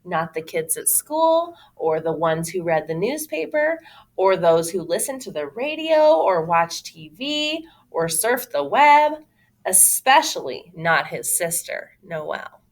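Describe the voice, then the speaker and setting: A woman reads a picture book aloud for children. Her delivery is dramatic and very lively in pitch, moving at an unhurried pace with clear expressive emphasis. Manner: dramatic, exclaiming. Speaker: teacher reading aloud.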